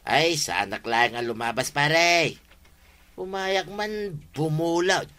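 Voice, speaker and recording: An actor with an Ilocano Filipino accent playing a wheedling, excitable neighbour who is always borrowing, in a radio comedy drama. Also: male voice, a little high, takes it slowly.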